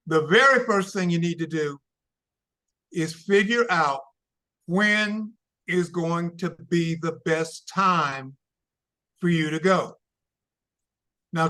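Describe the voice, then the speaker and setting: A travel consultant speaks in a high, bright voice that is wearing thin from losing it. He talks slowly and deliberately and keeps checking in with his listeners. His tone is patient and explanatory. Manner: slow, deliberate, with frequent checks like "okay?" and "all right?". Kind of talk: casual advice talk.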